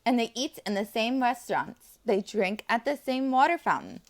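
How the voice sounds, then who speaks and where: A woman with a fairly high, very animated voice reads a picture book to preschool children. She speaks as an enthusiastic teacher, breaking off from the text to talk directly to her young friends. Her intonation swings widely throughout. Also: moderate pace. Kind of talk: children's read-aloud with asides to the listeners.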